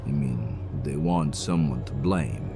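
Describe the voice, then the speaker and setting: A male actor plays an agent with a deep voice and an unhurried pace. He is hesitant and awkwardly friendly, keeping up an uneasy small-talk manner. Music sits under his lines in this audio drama. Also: creaky, exaggerated voice. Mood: probing. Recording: music behind the voice.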